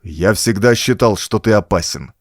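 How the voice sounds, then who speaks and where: A man's voice: on the low side, normal speed, no room sound, studio quality, lightly acted. A man voicing a tough, wary adventure hero in an audiobook, speaking bluntly and challengingly. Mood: grim accusation.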